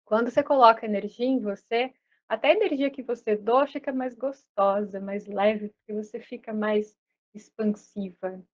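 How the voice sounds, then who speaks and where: A woman hosting a live conversation, speaking gently and reflectively, often checking in with "né?". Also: mid-range voice, moderate pace, dull audio. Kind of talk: casual chat.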